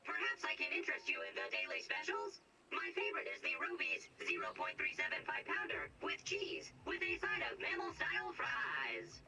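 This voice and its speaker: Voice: high voice, huge pitch swings, moderate pace, creaky, bright, forceful tone, distant mic, faint music behind, heavily acted, rough recording. An actor voicing a polite, fussy burger-flipping robot, with a processed synthetic voice, formal wording and glitchy stutters, in a game audio log. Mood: cheerful, scripted. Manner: formal, literal, stuttering on words. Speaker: robot assistant.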